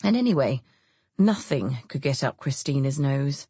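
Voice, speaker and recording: A woman's voice: extremely low pitch, animated, normal speed, warm tone, slightly rough, no echo, clean studio sound, heavily acted. A woman voicing the cheerful, self-deprecating heroine of an audiobook novel, bantering and earnest in her protests. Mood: vehement. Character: cheerful, self-deprecating divorced mother, easily flustered narrator heroine. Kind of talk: audiobook character dialogue.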